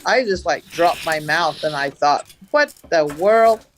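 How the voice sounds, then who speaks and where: A woman from the Navajo Nation, a farmer, recounting events in a studio interview with a loud voice and an animated telling. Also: low voice, unhurried, a little hiss or hum. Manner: animated, acts out the dialogue she recounts. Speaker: farmer, guest.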